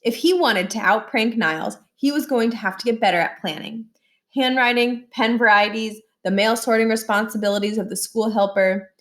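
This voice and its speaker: A woman's voice: on the high side, normal speed. A woman reads a children's book aloud in a storytelling style and acts out the dialogue. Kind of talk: read-aloud storytelling with brief explanatory asides.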